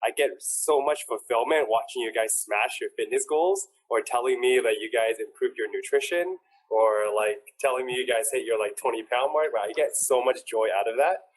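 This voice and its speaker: An earnest young man who works as a personal trainer talks to a group about what drives him. He scatters "like" through nearly every sentence. His voice sits at an ordinary, middle pitch. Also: normal speed, poor audio. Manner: earnest, filler-heavy, frequent "like". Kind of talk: heartfelt personal talk to a group.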